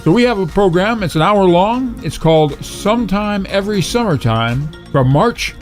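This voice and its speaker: A man's voice: high-pitched, animated, moderate pace, faint music behind. A man giving an informal spoken introduction as a podcast host.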